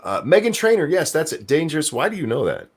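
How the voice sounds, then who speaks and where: A man with a very deep voice, co-hosting a casual livestream chat, rambling and swearing freely while he hunts for names he has forgotten. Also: huge pitch swings, fast, breathy edge. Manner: profane, rambling, trails off searching for names. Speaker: podcast co-host.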